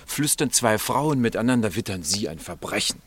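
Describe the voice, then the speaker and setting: A man playing a sceptical gentleman companion to a detective in a German radio play, reasoning in an urbane, teasing manner. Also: fairly low pitch, expressive, normal speed, a little hiss or hum. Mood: amused reproach.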